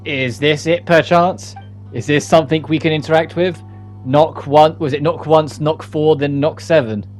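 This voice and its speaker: A man's voice: fairly high pitch, fairly even intonation, normal speed, a little mellow, soft background music. A man giving casual commentary as he plays through a video game. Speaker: game streamer.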